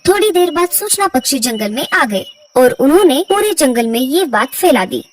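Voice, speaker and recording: A woman's voice: high-pitched, big pitch swings, normal speed, distant mic, slight background noise. A woman reading scripted narration for a children's cartoon story.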